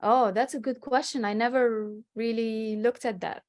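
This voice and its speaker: A woman's voice: a little high, steady tone, normal speed, a little mellow. A woman, answering interview questions over a video call in a chatty, explanatory way with many restarts. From Morocco. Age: 30s. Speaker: guest real estate agent. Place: home with a city skyline behind.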